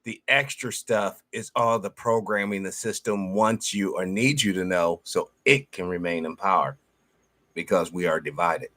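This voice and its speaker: Low-voiced man giving a critical commentary. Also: normal speed, bright, forceful tone. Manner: critical, plain-spoken rebuttals. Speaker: commentator reacting to a clip. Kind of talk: casual commentary.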